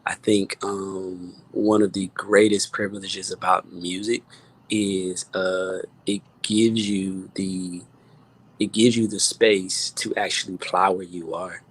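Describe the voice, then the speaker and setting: A man in a casual online conversation, giving informal, encouraging advice with halting, repeated phrases. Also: sits low, flat delivery, slightly rough, low-quality recording. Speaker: guest music producer. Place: remote online video call.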